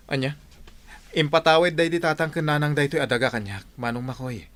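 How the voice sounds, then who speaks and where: A man's voice: fairly high pitch, steady tone, a little fast, a bit bright, lightly acted. An actor with an Ilocano accent playing an earnest younger brother defending his inheritance in a radio drama. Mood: protesting. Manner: earnest, protesting.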